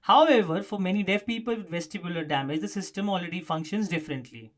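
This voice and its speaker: A man's voice: high voice, fast. A man presenting a scripted explanatory news story as a narrator.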